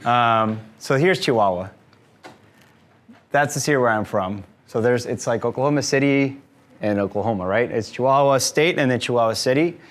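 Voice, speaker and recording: A man's voice: mid-range voice, moderate pace. A man in his thirties from Mexico, speaking as a guest to a live audience in a room, friendly and explanatory with frequent fillers. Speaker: guest speaker, tour company owner. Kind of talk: conversational talk to a live audience.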